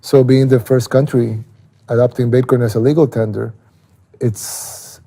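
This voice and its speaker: A man from El Salvador with a Salvadoran Spanish accent, explaining things casually in an interview and haltingly repeating words as he thinks. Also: mid-range voice, fairly even intonation, gravelly, dark tone, breathy edge.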